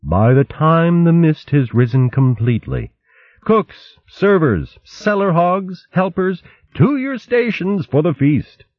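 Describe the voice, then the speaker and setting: A man voicing a brisk, motherly female badger giving orders. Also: fairly high pitch, expressive, dry recording, muffled recording, voice pushed up, full character voice. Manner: brisk, commanding.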